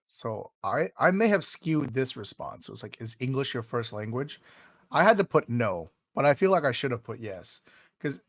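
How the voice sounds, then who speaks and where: A man's voice: medium pitch, very animated, moderate pace. A man co-hosting a podcast in casual chat, rambling with lots of fillers and dropping "dude" often; his first language was Vietnamese. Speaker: podcast co-host.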